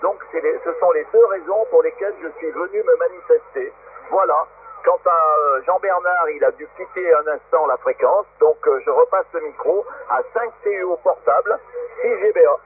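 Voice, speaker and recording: A man with a high voice talks as an amateur radio operator in an informal exchange. The sound is thin and phone-like, carried over shortwave radio. Light static noise sits behind the speech. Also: moderate pace. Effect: shortwave radio transmission. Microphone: radio transceiver microphone. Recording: narrow, tinny, noisy shortwave radio link.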